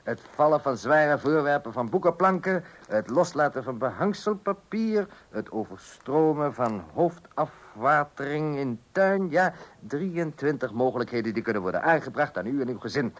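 An actor playing a smooth-talking, patronising insurance salesman in a comic radio play, coaxing and schoolmasterly. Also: medium pitch, animated, normal speed, natural voice. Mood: smug, flattering. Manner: coaxing, schoolmasterly, leading with questions.

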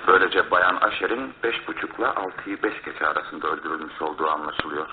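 An actor playing a methodical, cautious police inspector in a radio drama, reporting in a formal, measured way. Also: male voice, very deep voice, level tone. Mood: matter-of-fact. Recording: phone-like lo-fi radio broadcast, faint noise.

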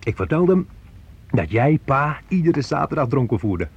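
A man's voice: mid-range voice, very animated, normal speed, slightly rough, highs cut off, heavily acted. An actor playing a grown son who talks on familiarly to his mother, teasing and probing, in a Dutch radio play. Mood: slyly teasing. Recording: noisy old radio play recording.

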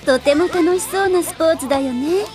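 A woman's voice: very high-pitched, brisk, over music. A woman playing a cheerful, teasing, easygoing girl in an anime audio drama. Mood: dreamy, playful.

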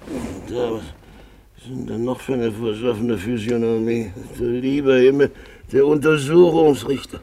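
Gravelly, boozy roguish gentleman. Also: medium pitch, steady tone, unhurried, rough recording. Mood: boisterous. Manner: theatrical, swinging from grumbling mumble to loud wheedling cries. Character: boozy, roguish Russian gentleman found alive, jovial lecher. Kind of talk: 1950s German radio drama acting.

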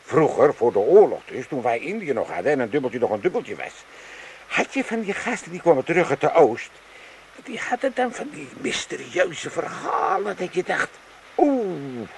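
A man's voice: a little high, big pitch swings, normal speed, a little hiss or hum. A man playing a grumpy, mocking old working-class Amsterdammer in a comic radio play, blustering and colloquial. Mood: reminiscing. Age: older.

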